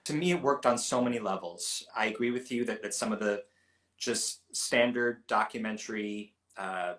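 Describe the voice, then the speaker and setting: A man co-hosting a film podcast, talking things through in a thoughtful, measured way. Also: fairly low pitch, fairly bright tone. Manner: thoughtful, measured, with frequent restarts. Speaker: podcast co-host. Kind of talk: casual film review chat. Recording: roomy echo.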